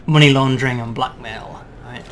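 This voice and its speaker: A man demonstrating and explaining a game build in a relaxed way, checking in with "right?" as he goes. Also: medium pitch, normal speed. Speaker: game developer demoing.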